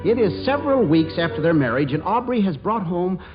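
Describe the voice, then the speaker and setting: Male radio comedy host, scripted narration, lively pitch, dull 1940s recording. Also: fairly quick, over music.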